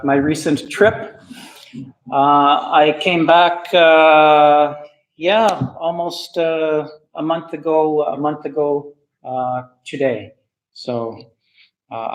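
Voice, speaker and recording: Middle-aged man from Canada, unhurried talk to a club, halting with lots of ums, mid-pitched. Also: rough recording. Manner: hesitant, many fillers and restarts. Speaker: guest speaker at travel club. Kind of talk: casual travel talk to an audience.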